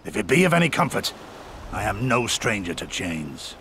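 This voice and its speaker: An actor playing a stern, fatalistic rebel leader in a video game, speaking in a grave, formal, declamatory way. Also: male voice, fairly low pitch, very animated, moderate pace, bright, forceful tone, slight background noise, heavily acted. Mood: grave, resigned.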